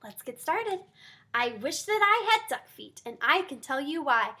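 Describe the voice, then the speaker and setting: Woman, children's storytime reader, high voice, sing-song, slow, talks to kids. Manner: animated, talks directly to young listeners. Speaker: pediatric therapist. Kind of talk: reading a picture book to children.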